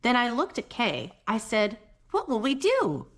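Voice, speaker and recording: A soft-spoken woman reads a storybook to preschoolers at an unhurried pace. Her pitch rises and dips in a lively way as she reads. She is gentle and chatty, often slipping in little asides to the children. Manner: gentle, expressive, chatty with young listeners. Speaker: children's read-aloud host; mother. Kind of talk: picture-book read-aloud for preschoolers with conversational asides.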